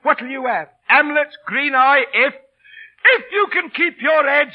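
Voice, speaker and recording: Dull vintage radio sound carries a high-pitched cheeky male street performer. He is shabby and boastful, talking garrulously with theatrical mock deference. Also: lively intonation, slow pace, exaggerated voice. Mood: proud, eager. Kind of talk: 1930s-40s radio drama acting. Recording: dull old radio broadcast.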